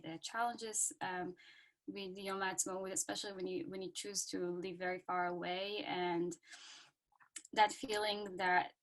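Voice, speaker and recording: Israeli woman on a video call, slow hesitant reflective talk, lots of ums. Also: fairly low pitch, poor audio. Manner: hesitant, many ums, reads a quote aloud. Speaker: guest speaker.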